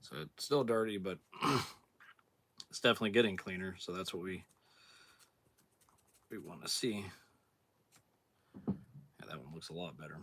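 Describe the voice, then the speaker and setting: A hoarse man clearing his throat as he explains a cleaning job step by step in a relaxed, plain-spoken way, sometimes moving away from the camera mic. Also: sits low, measured pace, long pauses, a little hiss or hum. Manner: relaxed, plain-spoken, thinking aloud while working. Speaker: outdoors channel host. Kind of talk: casual hands-on demonstration.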